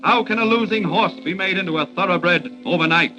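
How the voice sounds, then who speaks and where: Suspenseful 1940s serial announcer, male actor, raised pitch, theatrical narration over music. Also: brisk, lightly acted. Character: dramatic serial announcer posing cliffhanger questions.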